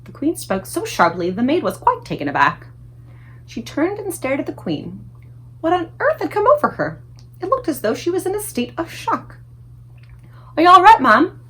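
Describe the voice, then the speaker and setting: A woman reading a children's book aloud as an expressive storyteller. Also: sits high, normal speed, rough recording.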